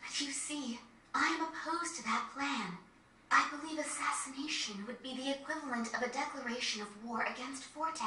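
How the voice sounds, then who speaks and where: A principled young noblewoman in a game cutscene. Her high, bright voice is formal and deliberate, polite yet firm. The sound is roomy and slightly noisy. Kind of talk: dramatic video game cutscene acting. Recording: roomy, distant game capture with faint noise.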